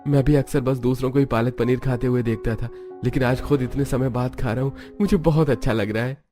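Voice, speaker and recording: A man plays a mild, appreciative family man in a cartoon. His voice is mellow and medium in pitch, over faint music. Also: steady tone, a little fast, close to an everyday voice. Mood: pleased.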